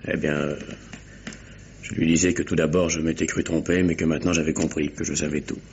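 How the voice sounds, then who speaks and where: An actor playing a weary murder suspect, bitter, faltering and fatalistic, in a French radio mystery. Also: male voice, extremely low pitch, little pitch movement, fairly quick, gravelly, lo-fi sound. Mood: bitter, resigned. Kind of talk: French 1950s-style radio mystery acting. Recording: slightly noisy old radio recording.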